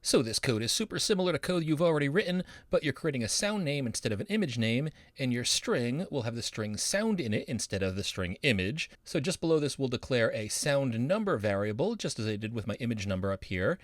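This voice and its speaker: A man's voice: mid-range voice, very animated, a little fast, few pauses, no echo. Encouraging man teaching, close dry sound. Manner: instructional, encouraging, upbeat. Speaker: programming instructor, university professor. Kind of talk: scripted tutorial narration of live coding.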